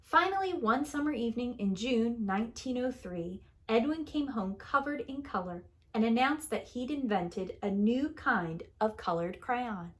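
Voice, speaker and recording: A woman reading a picture book aloud like a preschool teacher, in a deliberate, expressive storytelling manner that leans on key words. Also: on the high side. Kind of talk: children's story read-aloud.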